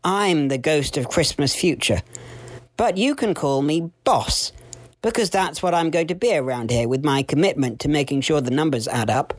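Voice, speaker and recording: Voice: medium pitch, expressive, moderate pace, dry recording, natural voice. A stern ghostly boss woman from accounts, as a storyteller puts her on. She is officious and steely, passing judgement with cold authority in a dry, close recording. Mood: smug authority.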